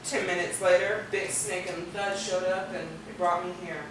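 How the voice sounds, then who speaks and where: A woman's voice: fairly low pitch, little pitch movement, normal speed, close to an everyday voice. A feisty, foul-mouthed woman played by a stage actress, nursing a wound and talking in brash slang. The sound is heavily echoing and distant, with faint noise, like a videotaped play. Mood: animated storytelling. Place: theater stage, far microphone.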